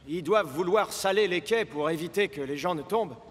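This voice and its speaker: Echoing, calm railway worker. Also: male voice, high-pitched, a little fast, husky. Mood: helpful. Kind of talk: French-dubbed children's cartoon acting. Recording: long reverberant hall sound.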